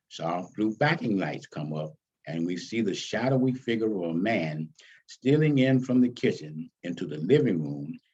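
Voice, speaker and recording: A man reading his own stage script aloud as its author, with deliberate, dramatic phrasing. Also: low-pitched, a little mellow, slightly rough, muffled recording.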